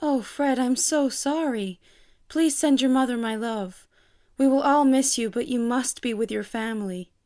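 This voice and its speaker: A woman's voice: fairly high pitch, measured pace, darkish tone, no room sound, studio quality, natural voice. A woman playing a poised, polite and ambitious young lady in a period audio drama. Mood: tender. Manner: genteel, proper.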